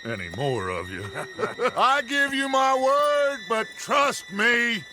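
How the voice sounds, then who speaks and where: American western gang boss, bright forceful voice, wildly varied pitch, commanding, oratorical, video game cutscene. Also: male voice, sing-song, slow, slight background noise, exaggerated voice. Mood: menacing. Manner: rousing, oratorical, authoritative. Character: bold, theatrical male outlaw gang leader, middle-aged, commanding.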